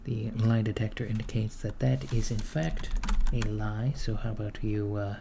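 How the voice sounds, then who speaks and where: A man playing a dry, deadpan villain's recruiter in a soft-spoken ASMR roleplay, measured and a little hesitant as he interviews henchmen. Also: lowish voice, creaky, noisy background. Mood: businesslike, deadpan. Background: computer keyboard typing.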